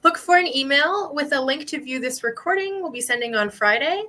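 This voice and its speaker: A woman moderating a webinar, closing it with friendly, brisk announcements. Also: sits high, animated, pushed and bright.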